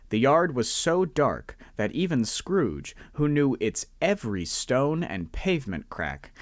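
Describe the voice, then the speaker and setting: A man narrating a radio drama in a measured, expressive storytelling manner. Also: medium pitch, dry recording, studio quality.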